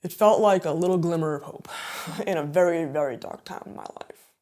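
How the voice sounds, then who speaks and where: A woman's voice: lowish voice, fairly quick, a little mellow. A woman in her twenties giving candid, emphatic personal testimony in an interview. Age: 20s. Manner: candid, emphatic, conversational fillers.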